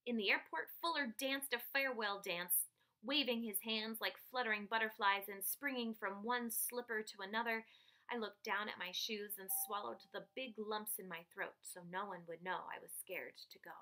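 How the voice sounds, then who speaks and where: A woman reading a picture book aloud to children like a teacher, with expressive, dramatic emphasis on key phrases. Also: medium pitch, normal speed, poor audio.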